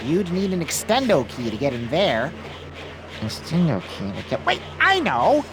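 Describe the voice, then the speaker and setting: An actor voicing an excitable, helpful young inventor in a video game cutscene. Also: mid-range voice, moderate pace, noisy background. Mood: eager. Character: eager young inventor cousin.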